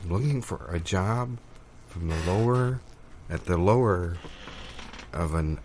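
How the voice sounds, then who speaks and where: A man playing a flustered, eager job applicant in improvised comedy, halting and repeating himself as he mutters. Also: low voice, a little mellow, slight background noise. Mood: muttering, hopeful. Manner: halting, repetitive.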